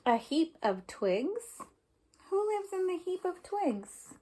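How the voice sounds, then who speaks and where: A woman's voice: very high voice, big pitch swings, slow, mellow. A woman teacher reading a picture book aloud to young children, explaining simply and prompting them with questions.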